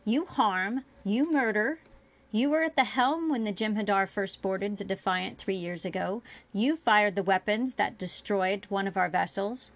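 A cruel female alien interrogator from a dramatic story, voiced by a woman reader. She speaks with contempt and accusation, pressing forcefully on her victim. The voice has a marked fry to it. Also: medium pitch, moderate pace, lightly acted. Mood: accusing. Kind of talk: dramatic fiction reading.

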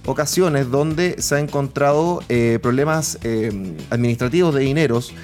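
A Chilean man hosting a casual political interview, asking rambling, halting questions as he works toward his point. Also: medium pitch, a little mellow, soft background music. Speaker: podcast interviewer.

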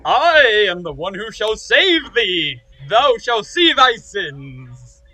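A fervent male zealot with a very high, forceful, swooping voice. He preaches pompously in archaic phrasing, drawing out words with comic audio-drama melodrama. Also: very animated, exaggerated voice. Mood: solemn, preaching. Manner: booming, pompous, drawn-out. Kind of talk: comedic audio drama acting.

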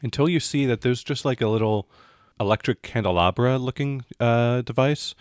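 A man narrating as game master of a tabletop role-playing game, describing things in a halting, improvised way. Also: medium pitch, no room sound, studio quality.

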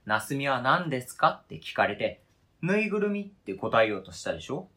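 A man's voice: medium pitch, sing-song, fairly quick, sharp tone, slightly rough, exaggerated voice. A man acting in a radio drama reading as a wounded, indignant adult who argues with cold, pedantic logic and interrogates relentlessly. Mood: hurt, bitter. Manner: pedantic, interrogating, formal argumentative phrasing.

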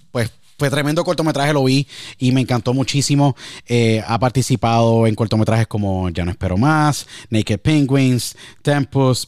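A man's voice: medium pitch, darkish tone, dry recording, clean studio sound. A man hosting a podcast, effusive and repetitive, mixing English words into his speech as he presents an interview. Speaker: podcast host. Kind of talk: enthusiastic interview introduction.

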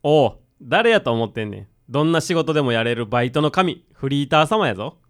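A woman's voice: rumbling low, expressive, brisk. A woman playing a cocky, casual odd-job man with a Kansai accent in a comic radio drama. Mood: boastful. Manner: casual, cocky, rough masculine speech. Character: brash, boastful odd-job man who loves sweets.

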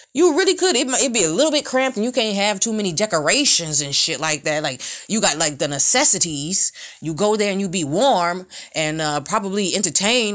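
A woman in her late twenties talking in a casual, rambling monologue, profane and animated, full of fillers. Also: medium pitch. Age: 20s.

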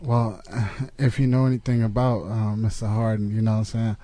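A man's voice: on the low side, fairly even intonation, moderate pace, warm tone, dry recording. A man in a comedy sketch, rambling in a laid-back, braggy way as a rapper. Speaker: comedian playing a boastful rapper.

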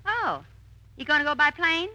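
A woman's voice: high voice, sing-song, normal speed, bright, forceful tone, dry recording, heavily acted, low-quality recording. A woman playing an inquisitive, chatty questioner in a 1940s radio comedy. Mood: nosy.

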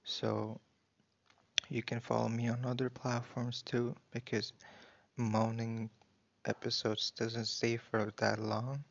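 A man's voice: medium pitch, monotone, slow pace, vocal fry. A man who hosts a podcast, making an intimate announcement directly to the listener. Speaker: podcast creator and host. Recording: dull, lo-fi audio.

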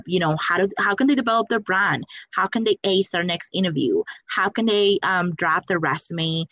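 A woman's voice: lowish voice, fairly bright tone, dry recording, rough recording. A young woman from the Dominican Republic, a Spanish speaker, answering interview questions about her career coaching firm with enthusiasm and many fillers.

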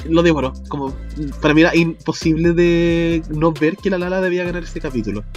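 Chilean man, casual podcast co-host, a bit high-pitched, laughs easily, faint music under. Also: a little fast, lo-fi sound. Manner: chatty, laughs often, uses slang. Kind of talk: casual chat.

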